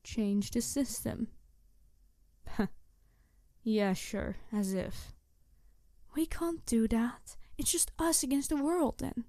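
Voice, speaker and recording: Woman voice actor as a snarky, bitter female supervillain with ideals; high voice, close dry sound, intense roleplay delivery. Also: slow pace, long pauses, no room sound. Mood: skeptical, conflicted. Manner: snarky, intense, sighing, shifting from emphatic to hushed. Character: snarky, embittered, idealistic female supervillain confronting her nemesis. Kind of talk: ASMR audio roleplay monologue to the listener.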